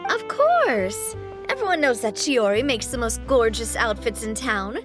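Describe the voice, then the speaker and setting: A woman's voice: on the high side, sing-song, a little slow. A woman voicing a confident, loyal, spirited young female boss in an anime video game, with music behind her. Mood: bright, teasing.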